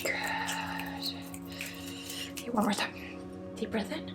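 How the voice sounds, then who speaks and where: A woman who is a native Swedish speaker, giving an ASMR energy healing in an intimate, halting, casual way, slipping often between soft voice and breathy whisper. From Sweden. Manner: casual, blunt, swearing, halting with asides. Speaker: spiritual healer and ASMR host. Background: music.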